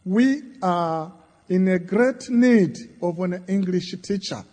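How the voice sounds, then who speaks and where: Tanzanian man, Kiswahili first language, guest preacher; high, unhurried delivery in short phrases, echoing church. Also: dark tone. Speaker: visiting bishop preaching. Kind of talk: sermon. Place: large church. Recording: long church reverb.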